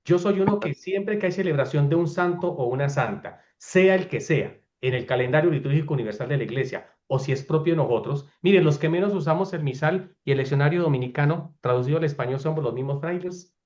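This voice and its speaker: A man's voice: a little high, brisk, few pauses, darkish tone, highs cut off. A man speaking as a friar giving an informal lecture, emphatic and often checking understanding with short questions.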